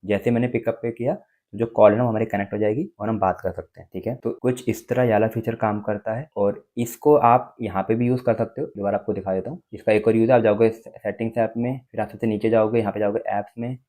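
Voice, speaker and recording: A man with an Indian accent walks through phone features in a casual, instructional way. His voice sits a little low and has a soft, mellow tone. He talks quickly and keeps checking in with small tag words as he explains each step. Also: fairly even intonation. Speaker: tech tutorial presenter.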